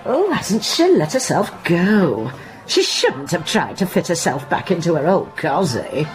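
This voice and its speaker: A woman's voice: lowish voice, a little slow, rough recording. A woman acting a nosy, gossipy guesthouse landlady who narrates her own story in a radio drama. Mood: amused. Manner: lively, gossipy, laughs easily. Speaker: narrator and lead character.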